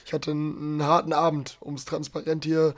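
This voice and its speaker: A man co-hosting a podcast, hoarse and strained after a long night of singing and a slight cold, talking candidly. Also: fairly high pitch, completely flat delivery, brisk. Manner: candid, self-mocking about his voice. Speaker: podcast co-host. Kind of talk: casual chat. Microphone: remote call, voice heard through a bot.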